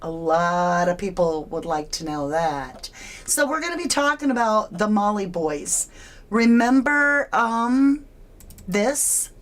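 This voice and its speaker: A chatty woman hosts a true crime live stream in a casual, folksy way, throwing in asides and calling out viewers by name. Her voice sits at an everyday middle pitch for a woman and comes through a home desk microphone. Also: lively intonation, takes it slowly. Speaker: true crime livestream host.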